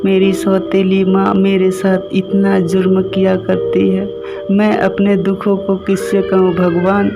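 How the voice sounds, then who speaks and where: Mistreated village boy played by a man: high, very even pitch, slow, meek and pleading. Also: gravelly. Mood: sorrowful pleading. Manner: pleading, plaintive. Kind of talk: Hindi cartoon story dialogue. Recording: music behind the voice.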